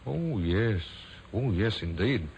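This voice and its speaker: Suave, overly polite male suspect with a lively, sliding pitch, old radio recording with faint hiss. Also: takes it slowly, lo-fi sound. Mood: composed. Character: smooth evasive male murder suspect. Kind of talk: 1950s radio drama acting.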